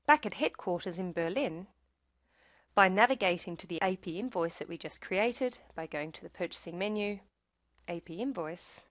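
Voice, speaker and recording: A woman's voice: on the low side, animated, moderate pace, no room sound. Woman narrating tutorial, close dry sound. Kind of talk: scripted instructional narration.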